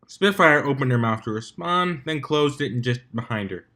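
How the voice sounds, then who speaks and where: A man narrates a fan fiction story in a mid-pitched voice. His reading is measured and homemade, with the odd stumble as he goes through the text. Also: lively intonation, bright, forceful tone.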